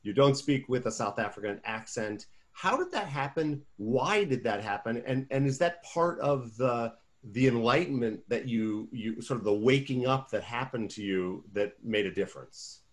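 Man, interviewer, deliberate, thoughtful, middle pitch. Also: lively intonation. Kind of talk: interview questions.

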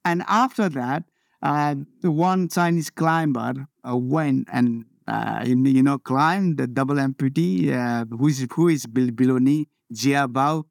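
Halting man from Nepal, dry sound. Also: medium pitch, vocal fry, no room sound. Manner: halting, many fillers and restarts. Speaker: interview guest, mountaineer. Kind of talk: casual interview talk.

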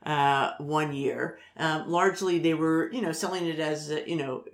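A co-host in casual conversation who speaks slowly and hesitantly, with many fillers. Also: medium pitch, fairly even intonation. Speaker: podcast co-host. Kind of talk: casual chat.

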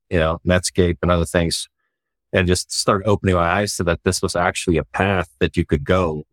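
Deep-voiced man, relaxed founder. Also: no room sound. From Sweden. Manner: relaxed and reflective, with frequent "you know" fillers and light laughs. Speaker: startup founder and CEO. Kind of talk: casual interview answers.

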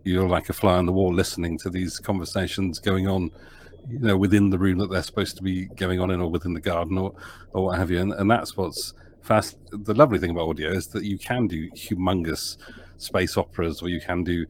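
Deep-voiced man, rambling podcast guest. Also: fairly even intonation, fairly quick, slight background noise. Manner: rambling, many restarts and fillers. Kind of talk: podcast interview answer.

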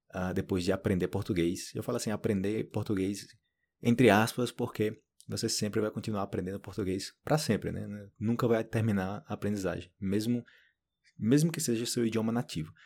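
A man from Brazil hosts a relaxed podcast interview with a low, mellow voice. His delivery is upbeat and slangy, full of casual energy. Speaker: podcast host.

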